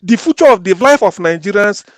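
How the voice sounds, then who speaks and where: A man's voice: sits high, expressive, brisk, a crowd in the background. A man arguing emphatically on a panel, often stumbling and restarting his words. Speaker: panel guest. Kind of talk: heated panel discussion.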